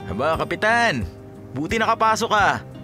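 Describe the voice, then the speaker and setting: A man plays a respectful junior officer who likes to grumble, in a Filipino audio drama. His voice is a bit high and animated, over music. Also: moderate pace, heavily acted. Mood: grumbling.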